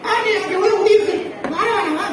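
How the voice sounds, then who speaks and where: A woman's voice: extremely high pitch, quick pace. A woman voicing a mischievous, cheeky little boy puppet in a children's puppet show, bantering in a childlike way. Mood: playful. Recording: echoey noisy hall, distant mic, crowd.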